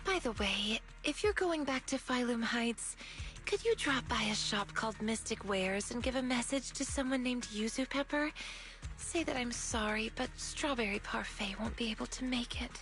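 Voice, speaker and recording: An actress playing a gentle, apologetic young woman in a video game, speaking in a soft, measured, earnest way. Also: a little high, soft background music, lightly acted. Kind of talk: video game story voice acting.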